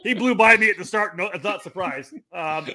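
Fast, bright-voiced Canadian man hosting. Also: sits high. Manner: upbeat, quick promotional delivery. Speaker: co-host. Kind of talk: online talk show interview.

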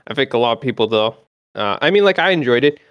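A man's voice: mid-range voice, animated, quick pace, no echo, dull audio. Dude in his 20s, rambly and chatty, lots of likes and uhs, dry close sound. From Canada. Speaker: podcast guest. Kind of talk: casual gaming podcast chat.

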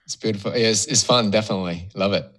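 A man from Hong Kong speaking as a podcast guest, his voice bright and forceful though pitched a little low. He sounds upbeat but halting, often repeating a word before going on. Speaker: guest entrepreneur. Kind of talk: casual interview conversation. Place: Miami.

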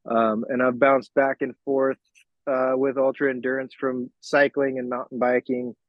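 Male, 40s, American. Candid interview guest, halting and thoughtful; slightly muffled, dry recording. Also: medium pitch, flat delivery, no room sound. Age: forties. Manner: reflective, candid, halting as he picks words. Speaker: fitness coach, podcast guest. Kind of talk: informal interview, personal storytelling.

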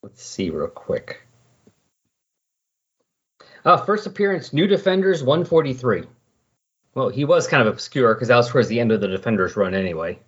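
A man with a slightly husky voice in casual, rambling, enthusiastic conversation as a podcast host. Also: medium pitch, big pitch swings, normal speed, long pauses. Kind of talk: casual chat about comic books. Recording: dull, lo-fi recording.

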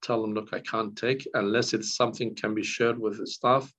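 Hesitant man, low voice. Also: little pitch movement, moderate pace, background noise. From northern Iraq. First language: Kurdish. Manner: hesitant, many fillers and restarts. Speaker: counsellor. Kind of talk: podcast interview. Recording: dull lo-fi audio.

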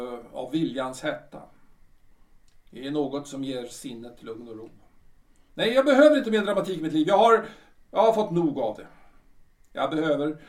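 An actor playing a bitter, wounded retired civil servant in his sixties in a period radio drama, speaking formally and haltingly. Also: a little high, natural voice. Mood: agitated, pleading. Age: older. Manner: formal, archaic, halting phrasing. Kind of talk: period stage-play radio drama acting. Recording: roomy, distant from the microphone.

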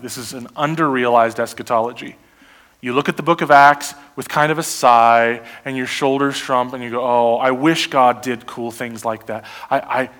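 Male pastor, sermon delivery, animated and questioning, medium pitch. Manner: animated, rhetorical questions to the congregation.

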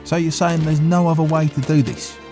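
A man's voice: on the high side, little pitch movement, a little fast, warm tone, natural voice. An actor playing a nervous, reluctant young male sidekick in an audio drama, anxious and hesitant in his speech. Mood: anxious, doubtful. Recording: music under the voice. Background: music.

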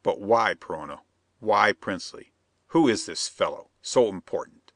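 A man's voice: on the low side, lively intonation, moderate pace, dry recording, clean studio sound. A man voicing a big male bandit who asks blunt, suspicious questions. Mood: wary.

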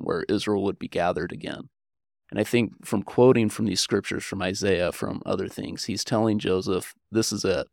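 Gravelly low-voiced man explaining history. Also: no room sound, clean studio sound. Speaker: historian, interview guest. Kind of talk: conversational explanation of religious history.

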